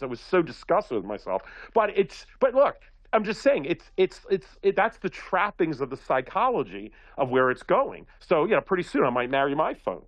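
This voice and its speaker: A man from Philadelphia holds forth on a talk show, telling stories in long, looping sentences full of restarts. His fairly high voice swings widely in pitch as he gets going. The audio is dry and a little dull. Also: dry recording. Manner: rambling, animated storytelling with many restarts. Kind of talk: casual talk-radio chat.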